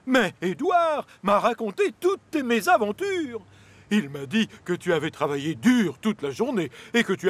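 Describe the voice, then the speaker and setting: A man's voice: high voice, breathy edge, exaggerated voice. An actor playing a blustery middle-aged man in authority in a children's cartoon, emphatic and theatrical. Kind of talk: animated children's cartoon acting.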